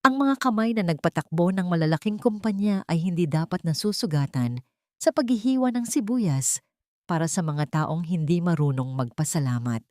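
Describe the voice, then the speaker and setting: A woman voicing a composed, powerful businessman in an audio love story, measured and soft-spoken. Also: on the low side, lively intonation, no room sound, clean studio sound, lightly acted. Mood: soft, caring. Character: composed powerful businessman, ruthless yet tender suitor.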